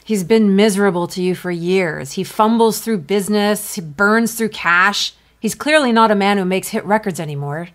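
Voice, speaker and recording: An actress playing a sensible, exasperated middle-aged ex-wife in a comedy podcast, blunt and dry. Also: female voice, medium pitch, normal speed, few pauses, lightly acted. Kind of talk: audio comedy acting.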